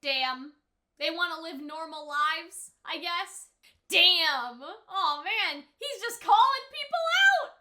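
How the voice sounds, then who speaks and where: Very high, bright-voiced woman reacting casually. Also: takes it slowly. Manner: slangy, exclamatory, emotionally expressive. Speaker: reaction video host.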